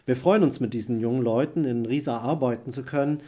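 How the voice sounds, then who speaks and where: Earnest man with a mellow voice. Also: mid-range voice, a little fast. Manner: earnest, heartfelt. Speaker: youth work practitioner. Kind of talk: prepared statement.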